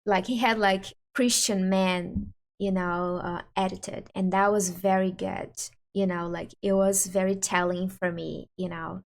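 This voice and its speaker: Woman, english, casual chat, laughing, middle pitch. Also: steady tone, unhurried, vocal fry. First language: Portuguese. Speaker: channel host.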